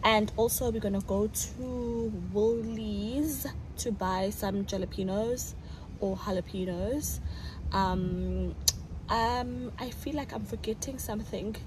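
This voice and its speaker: A woman's voice: medium pitch, slow, background noise. A young woman, chatting casually to a phone camera as a vlogger while running errands, laughing often. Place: car and shops, out and about.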